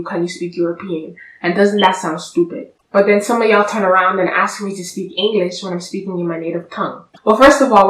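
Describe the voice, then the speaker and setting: A woman's voice: mid-range voice, a bit bright, far from the mic. A young woman talking straight to camera in a blunt, sassy, lecturing vlog, seated on a sofa indoors. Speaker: vlogger. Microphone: camera at a distance. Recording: echoey room, voice from off-mic.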